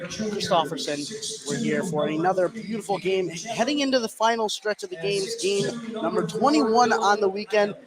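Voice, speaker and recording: A man doing live play-by-play basketball commentary for radio, fluently narrating the action from inside a gymnasium. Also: sits high. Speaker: play-by-play announcer. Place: indoor high school gymnasium. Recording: noisy gym ambience behind commentary. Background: gym noise.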